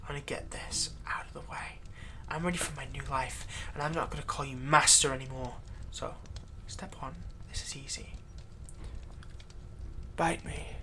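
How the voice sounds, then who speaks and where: A man playing a vengeful slave who is turning himself into a vampire, in a close roleplay monologue, taunting and theatrical, dropping into breathy whispers. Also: medium pitch, steady tone, normal speed, bright, forceful tone. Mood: menacing, determined. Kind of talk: roleplay audio acting, close ASMR monologue.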